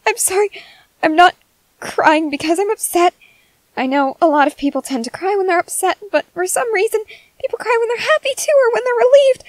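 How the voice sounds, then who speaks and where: A woman's voice: a little high, no room sound, rough recording. A young woman playing a shy, earnest young woman in a romance roleplay audio, who stammers and speaks in polite, formal phrases. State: crying with happiness.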